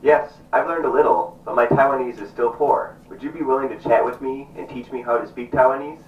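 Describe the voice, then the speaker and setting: A man's voice: mid-range voice, brisk, lightly acted. A man playing an earnest, devout missionary doctor in a puppet show, with a formal declaiming manner. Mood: eager, grateful. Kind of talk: stage puppet show acting. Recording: distant, roomy, muffled stage recording with music.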